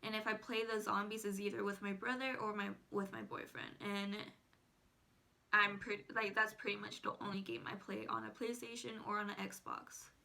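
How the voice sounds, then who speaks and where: A woman's voice: medium pitch, level tone, normal speed, vocal fry, pushed and bright. An eighteen-year-old woman, a native Spanish speaker, chatting casually to viewers as a vlogger. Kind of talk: casual personal chat to viewers.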